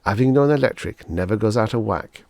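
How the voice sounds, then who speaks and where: A man's voice: lowish voice, fairly quick, vocal fry, a little mellow, no echo. A man voicing an anxious, eager-to-please suburban customer with an overeager, slightly fretful manner in an audiobook story. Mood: eager, enthusiastic. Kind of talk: audiobook character dialogue.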